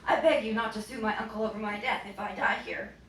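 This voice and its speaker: Woman voicing emphatic, bright-voiced teenage noble boy. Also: mid-range voice, steady tone, quick pace, lightly acted. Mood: defiant. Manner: emphatic, protesting. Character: proud fifteen-year-old noble boy, defiant and indignant. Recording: roomy, distant, noisy bookstore recording.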